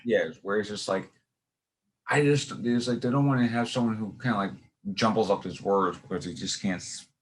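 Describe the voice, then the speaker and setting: A man's voice: fairly low pitch, normal speed, low-quality recording. A man with a Brooklyn accent hosting a podcast, asking long, rambling questions and restarting his sentences often. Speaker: podcast host. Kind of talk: casual podcast interview.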